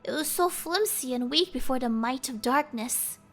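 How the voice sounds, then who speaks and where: A woman's voice: high-pitched, animated, moderate pace. A woman giving a suspenseful, theatrical reading of a horror story over background music. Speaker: let's player reading a visual novel aloud. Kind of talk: dramatic scripted narration of a horror story.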